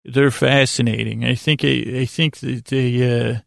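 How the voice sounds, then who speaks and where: A man hosting a bedtime podcast in a lulling, rambling monologue, halting and self-deprecating as he circles back on himself. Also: mid-range voice, steady tone, gravelly, no room sound, studio quality. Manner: lulling, soothing delivery. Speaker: podcast host.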